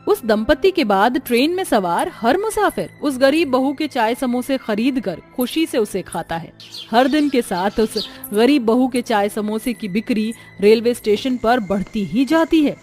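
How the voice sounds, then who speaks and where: High-voiced woman storyteller. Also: expressive, a little slow, darkish tone, faint music behind. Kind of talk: scripted narration of a Hindi moral story.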